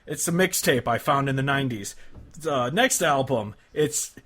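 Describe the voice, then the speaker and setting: A man's voice: medium pitch, expressive, normal speed, a bit bright. Blunt, exasperated man chatting casually. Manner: blunt, profane, exasperated complaining. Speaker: podcast co-host. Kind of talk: casual music discussion.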